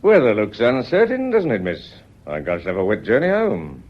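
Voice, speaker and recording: Deep-voiced, shrewd British police inspector. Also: male voice, huge pitch swings, dull audio. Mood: politely persistent. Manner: deferential but probing, measured questioning. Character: shrewd, folksy, persistent male police inspector, middle-aged, rheumatic. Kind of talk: period radio drama acting.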